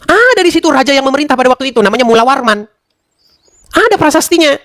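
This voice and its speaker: Brief: high-pitched man, dry close sound, sarcastic debate host who lectures and needles. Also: very animated, fast talker, fairly bright tone, dry recording. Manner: mocking, lecturing, rhetorical questions. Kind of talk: heated interfaith debate.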